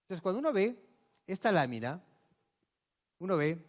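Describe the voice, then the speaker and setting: A man from Peru delivers a medical lecture in a large hall, his voice a bit higher than most men's and trailing long reverb. He talks emphatically and asks the audience questions. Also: big pitch swings, normal speed. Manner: emphatic, rhetorical questions, addresses audience directly. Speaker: physician lecturer.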